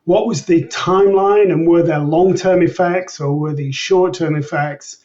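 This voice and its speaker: Male researcher guest, kinda high voice, formal careful explaining, sounds a bit far off and muffled. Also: steady tone, measured pace, a little mellow. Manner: formal, precise, structured. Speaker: research scientist, interview guest. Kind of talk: measured expert explanation in an interview.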